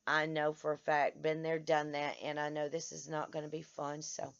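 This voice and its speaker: Hoarse woman, vlogger, tired, halting delivery full of restarts; muffled home-video sound. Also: sits low, completely flat delivery. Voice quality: halting, many restarts and stammers. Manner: tired, speaks in short broken phrases. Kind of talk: casual vlog to followers. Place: outdoors in the sun.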